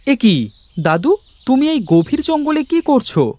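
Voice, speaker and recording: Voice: sits high, very animated, a little slow, a little mellow, no echo. A performer voicing a kind, dutiful village boy in a cartoon, earnest and eager in manner. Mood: puzzled.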